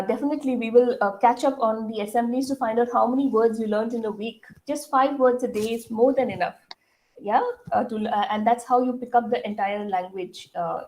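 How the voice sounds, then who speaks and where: Middle-aged woman, Indian English accent, school leader at assembly, earnest and halting, a bit high-voiced. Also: rough recording. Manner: earnest, many fillers, asks the audience questions. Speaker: school head addressing students.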